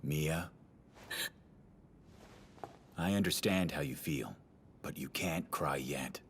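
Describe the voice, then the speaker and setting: A very deep-voiced, weary male lawyer in an anime drama, speaking slowly and solemnly. He is restrained and grave, over a noisy, rumbling soundtrack. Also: full character voice. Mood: consoling, solemn. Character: grave, weary male lawyer comforting his younger colleague. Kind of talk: dramatic anime dub acting.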